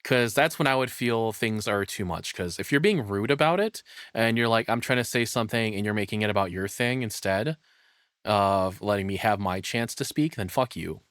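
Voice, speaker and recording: A man's voice: on the low side, moderate pace, a bit bright, dry recording. A man giving casual reaction commentary as a streamer, rambling and thinking aloud with frequent swearing, close to the microphone.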